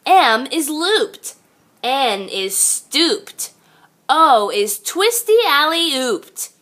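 A woman reading a picture book aloud to young children like a teacher, expressive and sing-song. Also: high-pitched, extremely slow.

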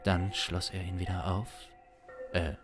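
A man in his thirties narrating an improvised suspense story in a deliberate, dramatic way, close to a studio microphone. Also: deep voice, little pitch movement, over music. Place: small indoor streaming studio. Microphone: close studio microphone on boom arm.

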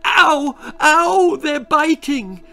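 A man voicing a whiny, frightened young schoolgirl in a storybook, squeaky and pleading. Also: expressive, very slow, vocal fry, sharp tone, heavily acted. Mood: pained. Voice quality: shrill, squeaky. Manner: wailing, pleading.

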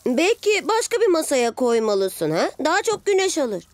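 A woman voicing a gentle, thoughtful six-year-old superhero boy sidekick in a children's cartoon dub. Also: sits high, very animated, normal speed. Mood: curious. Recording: faint music behind.

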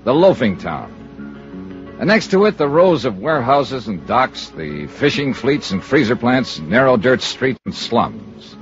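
A hard-boiled American investigator in a vintage radio drama, with a medium-pitched voice that moves up and down freely. He is dry and sardonic. The recording is muffled and old. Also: very animated, unhurried, soft background music. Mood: dry narrating. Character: wry, hard-boiled freelance insurance investigator in his prime. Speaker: radio drama lead and narrator. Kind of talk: 1950s American radio detective acting, clipped first-person narration.

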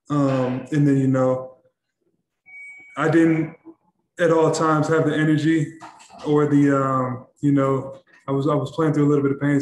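A young man, a basketball player, answering questions at a postgame press conference, halting and repeating words with many fillers. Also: mid-range voice, echoey room, poor audio. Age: young adult. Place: press room in front of a backdrop.

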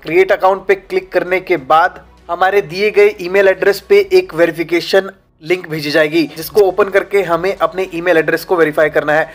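A man's voice: high-pitched, fairly even intonation, moderate pace. A young man hosting a how-to video, walking viewers through a website in a friendly, chatty way and often calling them friends. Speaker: YouTube channel host. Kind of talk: informal tutorial walkthrough. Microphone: lapel mic. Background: faint background music.

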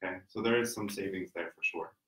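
A man from Ohio, an admissions counselor, explaining details in a steady way on a panel. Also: sits low, fast talker. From Cincinnati, Ohio. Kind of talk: informational panel talk. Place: meeting room with branded backdrop. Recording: echoey conference room.

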